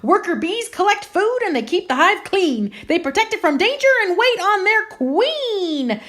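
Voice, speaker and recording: A woman's voice: very high-pitched, very animated, moderate pace, a bit bright. A woman reading a children's picture book aloud in a theatrical, emphatic, playful way.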